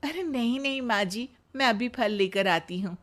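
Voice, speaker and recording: A woman playing a dutiful young daughter-in-law who politely protests in a comic animated Hindi story. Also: fairly high pitch, moderate pace, close to an everyday voice. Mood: flustered.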